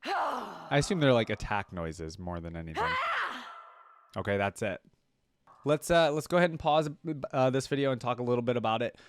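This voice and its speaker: A man's voice: mid-range voice, sing-song, moderate pace, long pauses. A man giving casual reaction commentary as a video host, informal, slangy and rambling.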